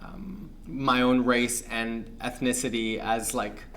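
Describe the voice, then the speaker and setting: A young man from Pakistan, a guest on an interview show, talking in a reflective, measured way with frequent fillers. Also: mid-range voice, a bit bright, slight background noise. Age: young adult. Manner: thoughtful, measured, many fillers.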